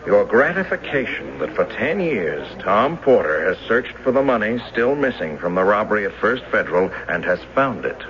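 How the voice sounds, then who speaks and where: An American actor playing an earnest, determined former banker demanding justice in a radio drama, speaking deliberately and formally. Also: male voice, fairly low pitch, very animated. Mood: firm, aggrieved. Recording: dull noisy vintage radio drama recording.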